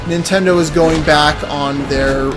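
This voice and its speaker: A man co-hosting a gaming podcast, talking casually and haltingly while he plays. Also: a little high, steady tone. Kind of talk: casual commentary while playing a video game. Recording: game music and vehicle noise behind. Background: loud video game soundtrack.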